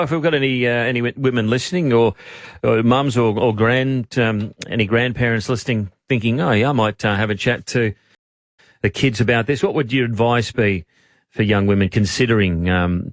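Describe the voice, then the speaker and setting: An Australian man hosts a casual radio interview. His voice sits at a middling pitch, recorded close and dry in a studio. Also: fairly even intonation, brisk, dry recording.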